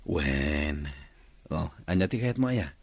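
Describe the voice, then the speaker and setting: An actor playing a smooth-talking married womanizer in a radio drama, casual and coaxing. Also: a bass voice, expressive, takes it slowly, dry recording, clean studio sound, full character voice. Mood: friendly, coaxing. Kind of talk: radio drama acting in Ilocano.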